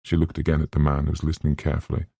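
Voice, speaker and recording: A man's voice: very deep voice, completely flat delivery, rapid-fire, creaky, dark tone, husky, no echo, studio quality. A man reading a novel aloud as scripted audiobook narration.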